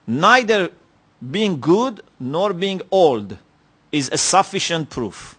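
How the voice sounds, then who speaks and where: Man w/ giving a lecture, slightly high voice, slow and thoughtful, lots of "you know". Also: big pitch swings, a bit bright, breathy edge. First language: Persian. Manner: measured, reflective, with many pauses and "you know" fillers. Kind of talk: religious lecture. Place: lecture hall.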